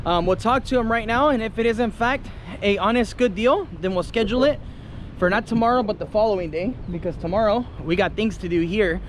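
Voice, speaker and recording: A man's voice: high-pitched, a little fast. A man in his thirties with an American accent, chatting casually and in a friendly, colloquial way as a travel vlogger. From California, United States. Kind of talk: casual chat to camera and with locals. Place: outdoors by a river and in an open motorboat. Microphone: action camera at arm's length. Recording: noisy outdoor recording with engine and ambience.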